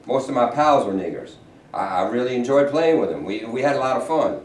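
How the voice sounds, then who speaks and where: A man acting a stern, touchy, bigoted state governor in a staged play reading, halting yet authoritative. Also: medium pitch, very animated, lightly acted. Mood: reflective. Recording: echoey, noisy stage recording from far off.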